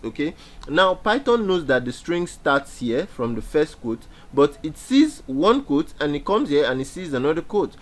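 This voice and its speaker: A young man teaching a beginner programming lesson over a screen share, explaining step by step with frequent fillers and repeated phrases. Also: mid-range voice. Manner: explanatory, repeats key points with many fillers. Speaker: programming instructor. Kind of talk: screen-share coding tutorial.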